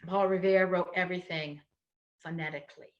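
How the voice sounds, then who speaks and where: A woman's voice: on the low side, steady tone, brisk, dull audio. A middle-aged woman from Connecticut, with a Connecticut accent and a trace of Baltimore, explaining her stage work in a casual talk with many ums and restarts. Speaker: performer and storyteller giving a talk.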